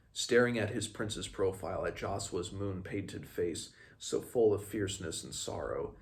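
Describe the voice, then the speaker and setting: A man in his twenties talks through a book in a very deep voice with plenty of vocal fry. He moves between retelling and reading aloud, a little haltingly, restarting as he goes. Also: slight background noise. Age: 20s. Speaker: book reviewer. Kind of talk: book read-along, recapping and reading aloud. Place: small room at home, indoors.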